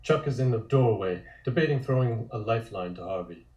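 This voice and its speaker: Male narrator, soft-edged and relaxed, reading stage directions, echoey room. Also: mid-range voice, brisk, lo-fi sound.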